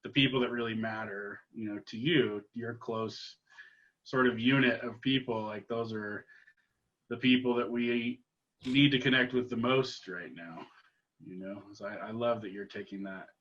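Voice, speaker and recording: Easygoing guy interviewer, bright voice, lots of you-knows, kinda muffled lo-fi audio. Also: mid-range voice, moderate pace. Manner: relaxed, agreeable, many fillers and short backchannels. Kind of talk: casual interview chat.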